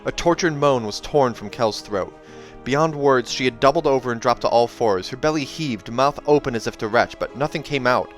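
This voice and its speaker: A man with a mid-pitched voice reads fiction aloud as the host of his own series. His delivery is expressive and dramatic, and music plays faintly behind him. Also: normal speed. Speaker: host reading a novel aloud. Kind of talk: dramatic audiobook narration with casual asides. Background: soundtrack music.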